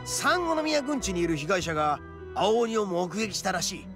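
An actor playing a brash, proud young male oni gang boss in a video game, with rough, cocky, emphatic speech. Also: sits high, big pitch swings, normal speed, music in the background. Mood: confident, spirited. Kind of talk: dramatic video game voice acting.

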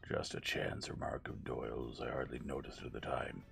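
A man's voice: rumbling low, level tone, normal speed, vocal fry, fairly bright tone, soft background music, full character voice. A man voicing a brusque middle-aged army colonel who talks in a blunt, clipped way. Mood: gruff.

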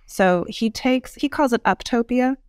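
A woman's voice: on the high side, a little slow, no echo, clean studio sound. A woman speaking as an interview guest, explaining ideas thoughtfully and repeating for emphasis. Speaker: podcast guest, futurist author.

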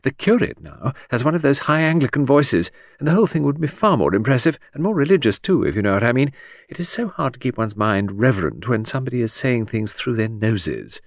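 A man's voice: medium pitch, big pitch swings, a little fast, no room sound, clean studio sound, voice pushed up. A man voicing a vague, rambling aristocratic older woman in an audiobook, with breathless, trilling delivery. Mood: chattering. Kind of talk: audiobook character voice.

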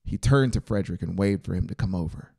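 A dark, creaky-voiced man in his thirties reads a story aloud. His voice sits low, and he is close to the mic in a small studio, narrating an audiobook. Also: quick pace. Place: small brick-walled home studio. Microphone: close dynamic mic on a boom arm.

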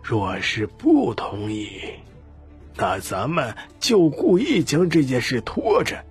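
A man voicing a shrewd old patriarch in an audiobook, calm and scheming, with deliberate, weighty delivery. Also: mid-range voice, breathy edge, faint music behind, exaggerated voice. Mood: sly, confident.

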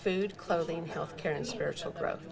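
A woman's voice: deep voice, fairly even intonation, moderate pace, vocal fry. An older woman, a charity director, speaking in a news interview. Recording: busy registration room chatter.

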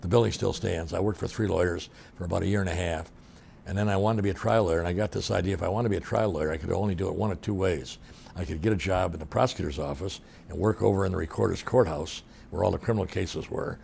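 A man's voice: low-pitched, quick pace, creaky, darkish tone, a little hiss or hum. A man in his eighties from Detroit, telling his life story in an oral history interview with a reflective, rambling manner and many fillers. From Detroit, Michigan. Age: 80s. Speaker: interviewee, former state attorney general. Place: office with bookshelves, indoors.

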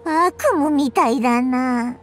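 Game companion fairy, female, excitable, high squeaky childlike voice. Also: slow, soft background music.

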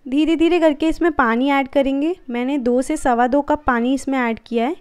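A woman hosting a cooking channel, giving calm, friendly step-by-step recipe instructions in a voice-over. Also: high-pitched, normal speed.

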